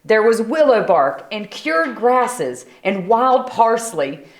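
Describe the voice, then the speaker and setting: A woman reads a children's storybook aloud. Her delivery is unhurried and very expressive, with pitch moving freely and the odd conversational aside. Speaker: storybook reader. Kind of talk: animated children's read-aloud with brief asides.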